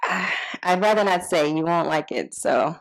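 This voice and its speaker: Lively, creaky-voiced young woman character read by an actress. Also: fairly low pitch, normal speed, rough recording. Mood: coy, hesitant. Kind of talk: live screenplay table read, acting.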